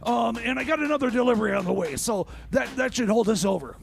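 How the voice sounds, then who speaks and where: A man playing a scatterbrained, excitable inventor with a secret scheme, stammering haltingly in an improvised radio comedy. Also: very high-pitched, vocal fry, slight background noise, heavily acted. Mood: evasive, excited.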